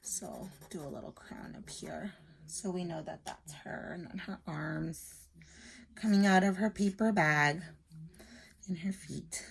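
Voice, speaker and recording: A woman's voice: on the low side, measured pace, creaky. A woman teacher reading aloud and explaining a simple lesson to young children step by step. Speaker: elementary school teacher.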